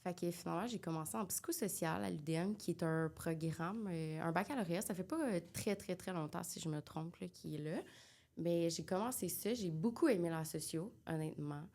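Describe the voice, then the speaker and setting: A woman's voice: on the low side, brisk, mellow. A young woman from Quebec with a Québécois accent, co-hosting a casual podcast chat, chatty and mixing English into her French. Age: young adult. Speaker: podcast co-host.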